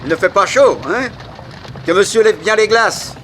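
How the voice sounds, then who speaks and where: A genial coachman in a radio serial. His voice is high and bright, politely deferential to his passenger. Some outdoor noise sits behind him. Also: moderate pace. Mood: obliging.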